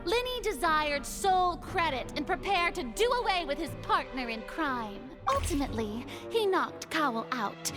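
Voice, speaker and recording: A flamboyant young goddess speaks in a high, showy voice. Her lines are grand and theatrical, as if she is performing for a crowd, with game music behind her. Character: theatrical young female archon, showy and dramatic. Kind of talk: game cutscene voice acting.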